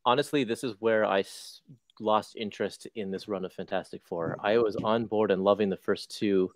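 A man guesting on a casual panel chat over a video call, easygoing and quick to laugh. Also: fairly low pitch, dry recording.

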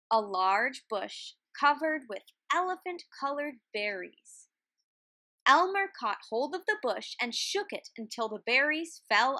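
A woman reading a children's picture book aloud in an expressive, deliberate way, like a teacher. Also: sits high, no room sound. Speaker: read-aloud storyteller, art teacher.

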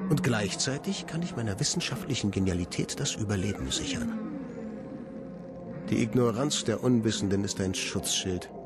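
An actor playing a coldly scheming, megalomaniac scientist in a radio drama, delivering a pompous, calculating monologue. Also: male voice, on the low side. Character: scheming, coldly megalomaniac male scientist, self-satisfied villain.

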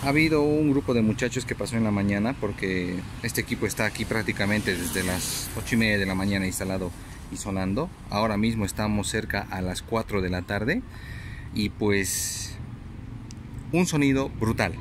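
A man's voice: lowish voice, moderate pace. A man speaking enthusiastically and emphatically to viewers in a casual review vlog. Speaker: host of an audio gear review channel. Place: outdoors on a street sidewalk by shops. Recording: noisy outdoor street recording with traffic. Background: street ambience, passing cars.

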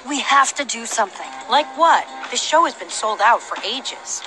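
An actress playing a keen, practical young adventurer in a video game, brisk and pragmatic. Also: female voice, a little high, expressive, music in the background, natural voice, low-quality recording.

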